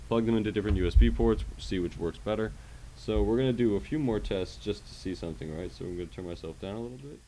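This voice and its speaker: A man with a deep, low-pitched voice talks casually, as a livestreamer would. His delivery is rambling and he often cuts himself off mid-thought while walking listeners through a technical demonstration. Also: quick pace, mellow, a little hiss or hum, rough recording. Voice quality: naturally deep voice. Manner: rambling, self-interrupting.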